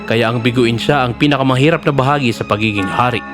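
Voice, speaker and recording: A man's voice: mid-range voice, quick pace, lightly acted. A man narrating a scripted fairy tale as the storyteller, with music behind his voice.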